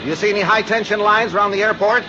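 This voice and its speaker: An American actor playing an earnest, polite insurance adjuster who flies planes, explaining things in a 1950s radio drama. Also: male voice, high-pitched, fairly even intonation, very fast, close to an everyday voice. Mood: instructive, intent. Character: earnest male insurance adjuster and seasoned pilot. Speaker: supporting actor. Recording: muffled, faintly noisy old radio recording.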